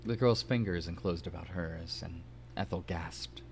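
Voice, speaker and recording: A man's voice: sits low, unhurried, a little hiss or hum. A man narrating a story aloud, slipping in playful asides. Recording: music behind the voice.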